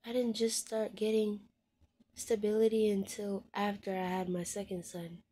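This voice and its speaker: A woman's voice: mid-range voice, flat delivery, normal speed. Frank young woman talking straight to viewers. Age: 20s. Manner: frank and heartfelt, with blunt swearing; often drops to a soft, hushed voice. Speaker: vlogger. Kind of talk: candid personal monologue to viewers.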